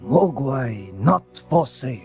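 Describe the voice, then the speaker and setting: An actor playing a very old, firm Chinese shop owner who speaks clipped broken English in a storybook audio drama. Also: male voice, mid-range voice, lively intonation, takes it slowly, darkish tone. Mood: firm, solemn. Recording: music under the dialogue. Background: music.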